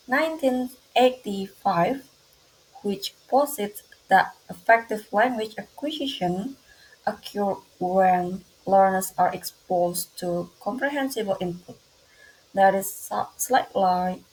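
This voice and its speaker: A young woman with an Indonesian accent, reading her class presentation aloud slowly and carefully, with small grammar slips. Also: medium pitch, slightly rough, rough recording. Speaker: student presenter.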